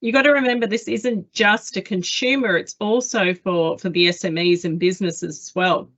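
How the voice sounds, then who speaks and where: An enthusiastic woman hosts a business webinar, talking in a chatty, animated way with plenty of ums. She comes through a video call, and the audio is dull and lo-fi. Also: mid-range voice, moderate pace. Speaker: webinar host. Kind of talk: corporate webinar moderation.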